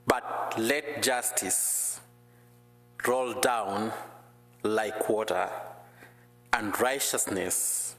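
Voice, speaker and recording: A man preaching and praying with slow, careful phrasing; English is not his first language. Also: on the low side, fairly bright tone. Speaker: guest preacher. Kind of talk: prayer and sermon. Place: church sanctuary. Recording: echoey church hall.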